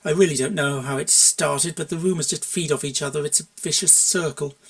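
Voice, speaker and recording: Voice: mid-range voice, brisk, natural voice. A performer voicing an exasperated, blunt cartoon mare in a story reading. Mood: bitter, embarrassed. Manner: hesitant muttering or indignant outbursts. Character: exasperated, blunt young mare with a short temper.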